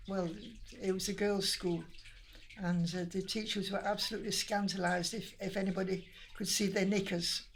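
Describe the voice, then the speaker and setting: An older woman from Manchester speaks with a Lancashire accent at an everyday middle pitch. She reminisces in a chatty, meandering way, often restarting her sentences. A faint background hiss sits under her voice. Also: flat delivery, normal speed, gravelly. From Manchester, England. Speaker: interviewee. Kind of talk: casual interview chat.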